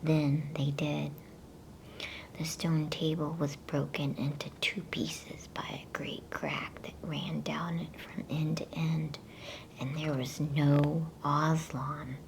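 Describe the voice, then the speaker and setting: A woman whispering an ASMR bedtime story in a hushed, soothing, unhurried way. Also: deep voice, level tone, vocal fry. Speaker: ASMR storyteller and channel host. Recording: faint background noise.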